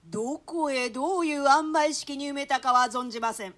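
Woman playing high-voiced rough, conscience-stricken hired killer. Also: normal speed, a bit bright. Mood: deferential. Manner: coarse colloquial speech, swinging into theatrical quoted voices. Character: rough male hired killer, shaken by pity and horror. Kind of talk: Shakespeare monologue in comic rakugo-like storytelling style.

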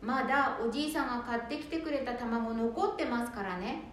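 A woman's voice: on the high side, fairly quick, natural voice. A woman playing a polite, harried young wife looking after her in-laws in an amateur radio drama. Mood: gently complaining. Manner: polite, domestic, gently complaining. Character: polite, patient city-born daughter-in-law, harried housewife. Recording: very echoey room, faint noise.

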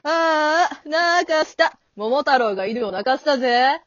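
A woman playing a cocky grown-up male monkey who talks in rough, flippant slang in an amateur comedy radio drama. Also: high voice, lively intonation, measured pace, fairly bright tone. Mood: breezy, teasing. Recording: dull lo-fi audio.

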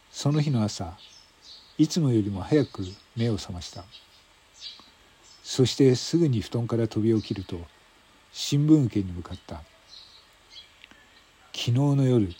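男性がラジオドラマの台本を、間を取りながら語るナレーション。. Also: male voice, fairly low pitch, dark tone, husky. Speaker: narrator. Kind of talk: scripted radio drama narration.

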